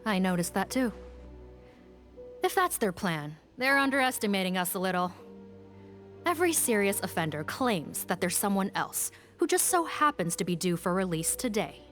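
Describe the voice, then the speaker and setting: A woman voicing a brisk, sceptical, no-nonsense guard in a video game, blunt and assertive, with music behind her. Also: medium pitch, very animated, a bit bright. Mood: sceptical, businesslike.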